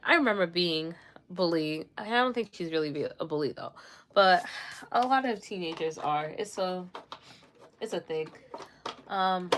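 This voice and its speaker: A young woman from Jamaica, with no accent, chats casually as she does her makeup on stream. Her voice sits slightly low, and she rambles with plenty of fillers. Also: expressive, measured pace, pauses often, a bit bright, slight background noise. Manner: chatty, rambling with many fillers and laughs. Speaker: livestream host. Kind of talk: casual chat while doing makeup.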